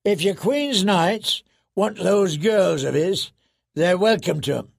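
A man as a high-pitched, blustering wildling chieftain. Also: animated, slow pace, gravelly, heavily acted. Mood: bawdy, amused. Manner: blustering, bawdy, roaring. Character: boisterous, crude, red-faced wildling warrior chieftain, laughing and roaring.

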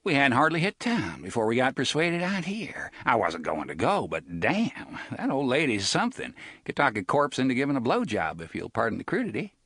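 A man's voice: medium pitch, huge pitch swings, normal speed, hardly any pauses, fairly bright tone, breathy edge, no echo, clean studio sound, heavily acted. A man voicing a crude, sly outlaw who talks in a lazy, folksy drawl.

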